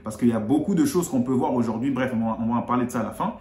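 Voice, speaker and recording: A man from Congo explaining Bible verses in a relaxed, conversational way, rising in pitch on key points. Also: very fast. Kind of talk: explaining scripture in casual chat. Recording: echoey room.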